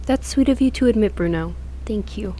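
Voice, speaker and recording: A woman's voice: medium pitch, brisk, mellow, lightly acted, rough recording. A woman playing a seductive, possessive she-devil with a teasing manner in a comedy-horror audio drama. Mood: sultry, smug. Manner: flirtatious, teasing.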